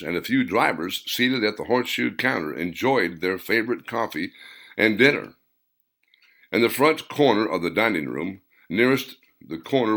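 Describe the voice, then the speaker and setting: Lively, bright-voiced man reading a story. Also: lowish voice, vocal fry. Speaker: author reading his own story. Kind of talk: storybook narration.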